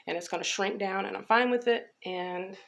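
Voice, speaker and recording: A woman's voice: medium pitch, moderate pace, gravelly, fairly bright tone. An American woman giving a casual, chatty hair care update, talking informally and rambling with some repetition. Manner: informal, rambling, repeats herself. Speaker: natural hair care vlogger.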